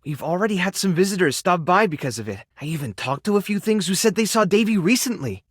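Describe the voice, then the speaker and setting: A man playing a kind, modest, overworked animal rescue keeper in a video game, speaking in a natural, soft-hearted and hesitant way. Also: high-pitched, sing-song, quick pace, slightly rough, no echo, studio quality, heavily acted. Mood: friendly, caring. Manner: soft-hearted, self-effacing, hesitant with small sighs and chuckles. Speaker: voice actor in a dating game. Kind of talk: video game character dialogue, natural and conversational.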